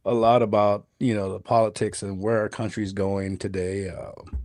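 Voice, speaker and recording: A man's voice: on the low side, flat delivery, moderate pace, darkish tone, no echo, clean studio sound. A man in his fifties co-hosting a podcast, talking bluntly and with jokes in casual chat. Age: 50s. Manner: blunt, joking. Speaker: podcast co-host.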